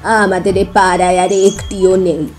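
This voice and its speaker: An admiring village neighbour woman acted out by a female performer in an audio drama. Her voice is lowish and flat in pitch, her speech rustic and emphatic. Soft music sits behind her. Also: a little slow, lightly acted. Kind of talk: audio drama acting of a Bengali story.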